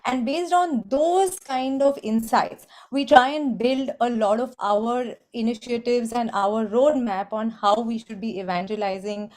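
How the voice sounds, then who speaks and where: A woman, speaking in a measured, formal corporate way as an industry body representative on a webinar panel. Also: a little high, darkish tone. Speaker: trade body executive and webinar organizer.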